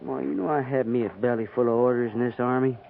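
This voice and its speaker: An actor playing a bitter, grumbling cavalry private with a rural American accent and a folksy drawl, in a 1950s radio western. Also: male voice, medium pitch, steady tone, fast talker, creaky, natural voice. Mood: bitter, griping. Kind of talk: 1950s radio drama acting. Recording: tinny, band-limited, noisy 1950s radio recording.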